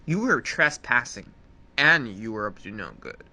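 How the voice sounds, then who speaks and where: A man's voice: mid-range voice, sing-song, a little slow, bright, forceful tone, full character voice. An actor playing the bitter ghost of a murdered man in a radio mystery, grave and accusing, with a tense voice. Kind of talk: radio mystery theatre acting.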